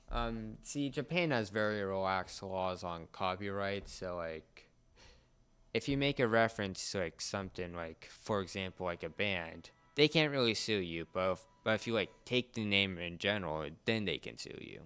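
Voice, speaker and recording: A man with a fairly low, smooth voice talks in a casual fan conversation. He speaks haltingly and often searches for the right word as he explains things. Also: lively intonation, fairly bright tone, faint music behind.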